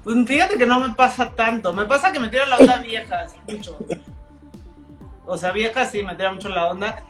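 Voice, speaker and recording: A woman's voice: on the high side, normal speed, echoey room, faint music behind. A woman chef with a Mexican accent chatting as a guest, laid-back and full of slang. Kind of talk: casual chat.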